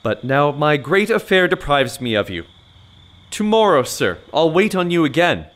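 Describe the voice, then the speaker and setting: A man's voice: on the high side, sing-song, normal speed, a bit bright. A man playing a con man who poses as a learned mystic in an audio farce, declaiming grandly and with authority in period English. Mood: confiding, lofty.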